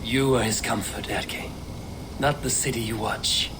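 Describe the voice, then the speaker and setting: An actor playing a steady, honorable Norse warrior leader in a game, measured and earnest. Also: male voice, medium pitch, noisy background, close to an everyday voice. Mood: calm. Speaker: Viking protagonist Eivor.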